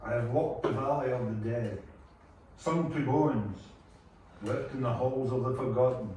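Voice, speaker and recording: A man's voice: medium pitch, measured pace, mellow, natural voice. A man playing a solemn, grief-worn mythic bard in a poetic fantasy script read. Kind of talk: dramatic table read of a fantasy film script. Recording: very echoey, distant mic, faint noise.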